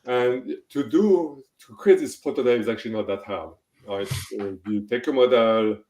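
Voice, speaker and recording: A man's voice: medium pitch, moderate pace, a little mellow, roomy sound. A middle-aged man from France with a French accent, speaking as an interview guest and thinking aloud with restarts and tag questions like "right?". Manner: thoughtful, many restarts and "right?" checks. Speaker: guest, tech executive. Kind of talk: podcast interview.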